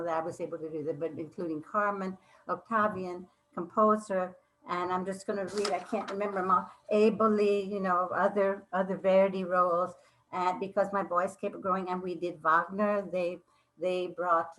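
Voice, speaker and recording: A woman's voice: lowish voice, slight background noise, rough recording. Older woman moderator, rambling reminiscing. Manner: rambling, warm reminiscing with many restarts and laughs. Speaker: panel moderator and voice teacher. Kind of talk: casual panel discussion.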